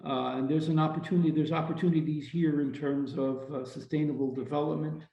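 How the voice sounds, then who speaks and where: A man's voice: a little high, fairly even intonation, fairly quick, mellow. An older man, native Ukrainian speaker, making an informal comment in an online workshop. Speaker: workshop participant. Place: indoor room on a video call. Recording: dull, roomy video-call audio.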